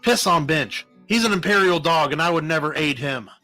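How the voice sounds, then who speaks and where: Man acting a proud rebel woman, bitter, growling, indignant, raised pitch, bright edge, storybook western. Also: animated, moderate pace, soft background music. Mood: defiant anger.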